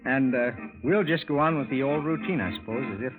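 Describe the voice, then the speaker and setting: A man's voice: medium pitch, a little fast, natural voice. An actor as Frank, folksy old-time radio everyman, tinny sound. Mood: folksy recounting. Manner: folksy, hesitant, conversational. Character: Frank, ordinary good-natured husband telling a strange tale. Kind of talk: 1940s radio acting, first-person narration. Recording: tinny vintage radio broadcast with music.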